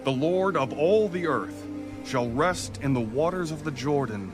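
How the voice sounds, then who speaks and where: Male actor as ancient Israelite leader, very slow, declamatory, solemn, commanding, music underneath. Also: fairly high pitch, animated. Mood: solemn proclaiming. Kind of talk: dramatized audio Bible acting.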